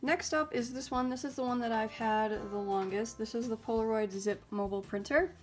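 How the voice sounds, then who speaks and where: A young woman hosting a casual vlog, reviewing gadgets in a chatty, upbeat way with lots of ums and likes. She sounds a bit raspy and stuffy because she is getting over a cold. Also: medium pitch. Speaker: YouTube host reviewing mini printers.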